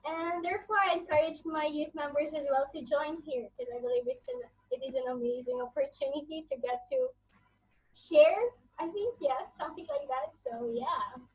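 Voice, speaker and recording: A woman's voice: high-pitched, animated, moderate pace. A nineteen-year-old woman from Greece introducing herself to a podcast a little nervously. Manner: nervous, restarts. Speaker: youth group leader, guest. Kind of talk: casual self-introduction. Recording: dull lo-fi sound.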